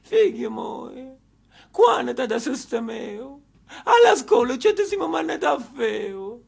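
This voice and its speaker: A man's voice: very high voice, very animated, slow pace, gravelly, husky, pitched above the performer's own voice, exaggerated voice. A man voicing an aggrieved, nagging southern Italian mother scolding a grown son, with an Apulian accent, on stage.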